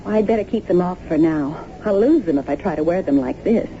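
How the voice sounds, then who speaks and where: A woman's voice: lowish voice, animated, fairly quick, mellow, lightly acted. A woman acting in a radio drama as an anxious, bewildered young wife, speaking hesitantly and uneasily. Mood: startled, puzzled. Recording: tinny, narrow-band, noisy old radio broadcast.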